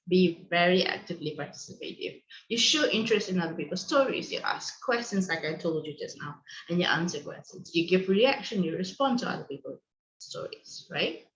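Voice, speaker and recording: Malaysian-raised woman hosting an echoey video call. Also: fairly low pitch. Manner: friendly instructive, many fillers and restarts. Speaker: online session host and co-founder. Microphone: video call microphone. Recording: dull lo-fi echoey call audio.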